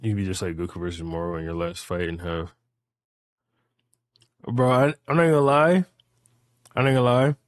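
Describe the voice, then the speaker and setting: A man's voice: low-pitched, normal speed, pauses often, no room sound, clean studio sound. A man in his late twenties chatting casually and rambling through hypotheticals, repeating phrases emphatically with profane slang. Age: late 20s.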